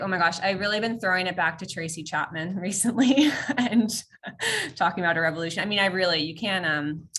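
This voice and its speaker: A woman's voice: fairly low pitch, sharp tone. A woman from California, a strategy director, introducing herself in a chatty way full of ums. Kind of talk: roundtable introduction.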